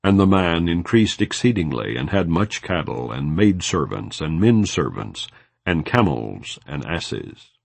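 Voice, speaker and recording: A man reading scripture aloud in a measured, solemn way. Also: low-pitched, big pitch swings, vocal fry, no echo. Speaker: Bible reader.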